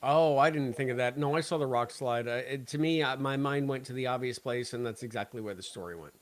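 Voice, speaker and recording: A man's voice: mid-range voice, brisk, no room sound. Guy podcast cohost, mid-range voice, just chatting, kinda blunt and opinionated. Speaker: podcast co-host. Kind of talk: casual chat, episode discussion.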